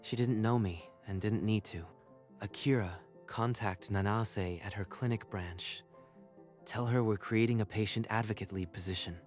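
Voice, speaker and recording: A man's voice: lowish voice, faint music behind, close to an everyday voice. A man playing a firm, decisive executive. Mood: determined. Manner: firm, measured. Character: calm, decisive male executive.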